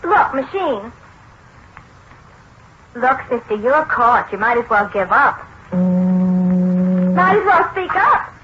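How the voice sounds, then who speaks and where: Brassy take-charge gal, animated high voice, brisk and slangy, 1940s radio play, muffled sound. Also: female voice, breathy edge, exaggerated voice. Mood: confrontational. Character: bossy, sharp, wisecracking young woman taking charge.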